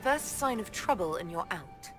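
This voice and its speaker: A woman's voice: fairly low pitch, animated, moderate pace, fairly bright tone, soft background music. A woman playing a resolute, guarded military leader in a video game, speaking directly and firmly. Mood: wary. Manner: direct, plain-spoken, firm. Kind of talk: game voice acting.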